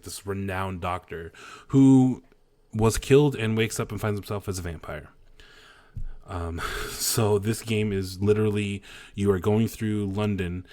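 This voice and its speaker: Male podcast co-host, deep voice, dry close sound, chatty and excitable. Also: no echo. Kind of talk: casual chat.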